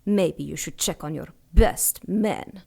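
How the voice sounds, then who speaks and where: An imperious female cult leader, with a lowish voice and a marked creak. She speaks with scorn and command, treating her underlings as fools in classic pulp radio-drama fashion. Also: slow pace, darkish tone, heavily acted. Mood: cold contempt. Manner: condescending, commanding, clipped orders.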